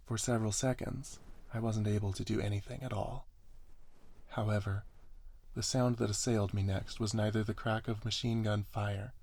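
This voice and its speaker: A man's voice: fairly low pitch, gravelly, darkish tone, slightly rough. A man reading a first-person story aloud as scripted ASMR narration. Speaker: story reader.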